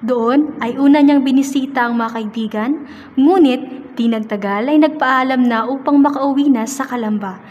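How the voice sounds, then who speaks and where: High-pitched woman narrator with hall reverb. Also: normal speed. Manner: formal, read-aloud storytelling. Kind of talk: scripted radio drama narration. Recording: dull sound with hall reverb.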